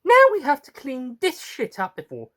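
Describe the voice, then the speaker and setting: Man doing high-pitched, brash flower shop girls. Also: huge pitch swings, moderate pace, bright, forceful tone, heavily acted. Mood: disgusted. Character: saucy, excitable young women of a flower shop. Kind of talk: audio storybook character voice.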